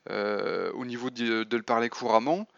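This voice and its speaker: A man talks through his thoughts at a middle pitch, pausing often on fillers and restarting his phrases. The sound is dry, with no room in it, and a little muffled at the top. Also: steady tone, creaky, a bit bright, no echo. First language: French. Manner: hesitant, many fillers and restarts. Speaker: podcast host. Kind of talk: casual spoken essay on role-playing.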